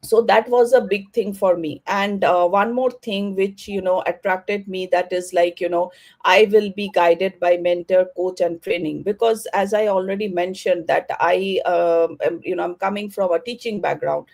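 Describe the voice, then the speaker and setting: Halting woman hosting a video-call panel. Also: lowish voice, fairly even intonation, vocal fry, a little mellow. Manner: halting, full of fillers and restarts. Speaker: panel host.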